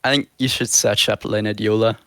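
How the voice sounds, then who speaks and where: Bright, dry-voiced young man explaining. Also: fairly low pitch, flat delivery, brisk, dry recording. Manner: confident, explaining and correcting others. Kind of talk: casual chat among players.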